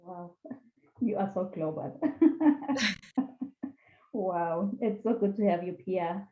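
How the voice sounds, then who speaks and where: A woman hosting an online podcast panel, enthusiastic and quick to laugh, often repeating words as she introduces her guests. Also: on the low side, steady tone. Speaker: podcast host. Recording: echoey room over a tinny phone-like line.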